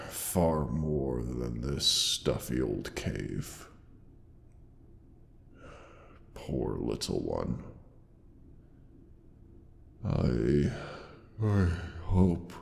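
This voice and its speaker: Very deep, slow, gravelly dragon. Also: male voice, very animated, darkish tone, heavily acted. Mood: sorrowful, subdued. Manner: slow, theatrical, archaic formal phrasing with pauses. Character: huge male dragon, grandiose yet tender, protective and lonely. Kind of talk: scripted roleplay audio drama monologue. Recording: long cavernous reverb.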